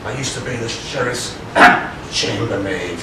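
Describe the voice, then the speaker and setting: A man's voice: mid-range voice, measured pace, close to an everyday voice. Man playing rambling ragged panto prisoner, very echoey. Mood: rambling, confiding. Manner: rambling, comic storytelling with hesitations. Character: comic pantomime ragged old prisoner, former chambermaid Potty Pat. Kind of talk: stage pantomime comedy acting. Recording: very echoey hall, distant mic, clear noise.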